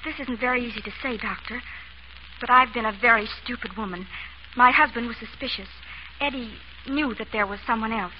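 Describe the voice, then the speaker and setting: An actress playing an anxious, earnest society wife who pleads formally, in a 1930s radio melodrama. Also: female voice, fairly high pitch, fairly quick, close to an everyday voice. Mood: anxious, pleading. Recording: muffled, hissy 1930s radio recording.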